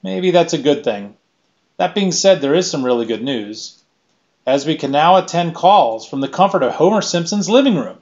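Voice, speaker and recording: A middle-aged man giving a scripted keynote presentation in a measured, list-by-list delivery, recorded on a webcam in a small room. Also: a little high, expressive, a little mellow, highs cut off. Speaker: keynote speaker.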